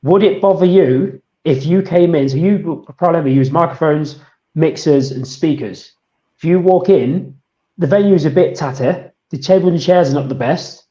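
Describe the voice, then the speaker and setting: Mellow male co-host in a roomy, dull recording. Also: on the high side, moderate pace. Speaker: podcast co-host. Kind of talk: casual chat. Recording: dull, roomy podcast audio.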